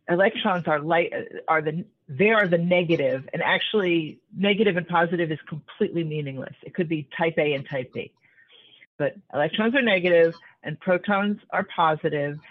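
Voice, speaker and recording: A woman in her sixties explains her ideas as a guest, building each point patiently with small restarts. Her voice comes through a narrow, tinny call line. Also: on the low side. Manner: thoughtful, explanatory, with frequent restarts. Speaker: author guest. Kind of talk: conversational interview.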